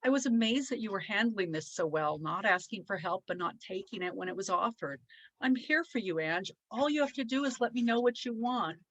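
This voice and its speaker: A woman reading a gentle, soothing husband. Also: medium pitch, animated, fairly quick, dry recording, muffled recording. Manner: soft, soothing, reassuring. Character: calm, gentle older husband and father.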